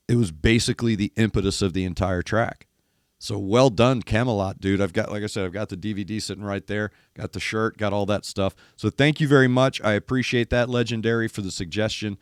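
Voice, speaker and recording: Hoarse, gravelly man talking drums. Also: lowish voice, no room sound, studio quality. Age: older. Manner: animated, emphatic, imitates drum hits. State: losing his voice, gravelly. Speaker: host of a music reaction show. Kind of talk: casual music commentary. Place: studio in east Nashville.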